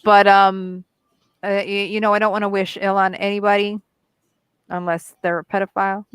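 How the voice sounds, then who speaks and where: A woman co-hosting a casual geek talk show, giggling and pausing with fillers as she talks. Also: medium pitch, little pitch movement, clear, resonant tone, no echo. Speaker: co-host. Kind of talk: casual podcast chat.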